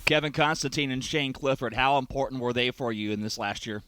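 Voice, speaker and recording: A man working as a radio sports interviewer, putting questions in a measured, polished, wordy way. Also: medium pitch, bright, forceful tone, clear, resonant tone.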